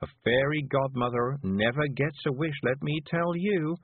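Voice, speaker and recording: A man's voice: medium pitch, moderate pace, slightly rough, no room sound, voice pushed up, natural voice. A man voicing a dazed, weary older woman witch who speaks faintly and dreamily. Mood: dreamy. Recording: dry tinny phone-like sound.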